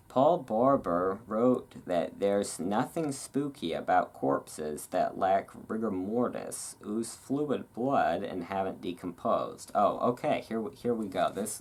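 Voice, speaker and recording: Asmr host reading out loud, really slow and deep, kinda rambly and casual. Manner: rambling, musing asides between read passages. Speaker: host reading a magazine aloud.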